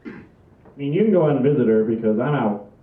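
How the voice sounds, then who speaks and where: A man's voice: medium pitch, lively intonation, quick pace, lightly acted. A man playing a weary, exasperated family man in a comedy table read, dry and reluctant. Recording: muffled phone-like sound, echoey distant room.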